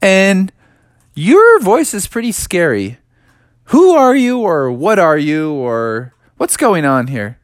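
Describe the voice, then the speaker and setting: A man's voice: on the high side, sing-song, moderate pace, dry recording, studio quality, voice pushed up. A man voicing a young, good-natured frog adventurer, nervous and eager, with an earnest, hesitant way of talking, for a children's story. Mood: curious.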